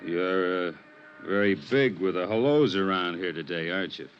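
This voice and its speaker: A man's voice: sits low, expressive, normal speed, a little hiss or hum. An actor playing a wary small-time ex-con in 1950s radio drama, talking in guarded, casual tough-guy slang. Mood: suspicious. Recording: old muffled radio recording, music.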